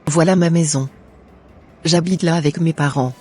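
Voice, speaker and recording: A woman's voice: low voice, fairly even intonation, normal speed, a little mellow, husky, soft background music. A woman voicing a devout young bride. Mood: polite. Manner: earnest, prayerful.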